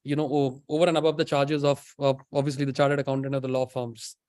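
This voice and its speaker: A man's voice: mid-range voice, level tone, quick pace, darkish tone, dry recording, studio quality. A middle-aged man with an Indian accent explaining on a webinar panel, emphatic and often checking with "right?". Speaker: banking expert panelist.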